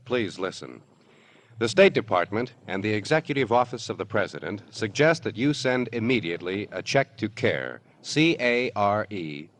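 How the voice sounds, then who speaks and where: A man announcing in formal, earnest 1950s radio style, reading from a script. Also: on the low side.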